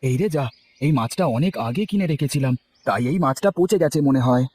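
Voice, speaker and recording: Fast-talking, put-upon son played by a man. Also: medium pitch, lively intonation, darkish tone, a little hiss or hum, natural voice. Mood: apologetic. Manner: grumbling, exasperated, pleading. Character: poor, put-upon grown son, street snack seller. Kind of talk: cartoon voice acting. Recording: faint music bed behind.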